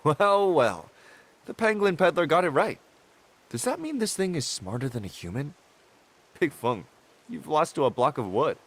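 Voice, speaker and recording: An actor playing an easygoing, teasing yet supportive friend in a video game, with a playful, reassuring manner. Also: male voice, fairly high pitch, very animated, moderate pace, pauses often. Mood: curious, teasing. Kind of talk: video game character acting.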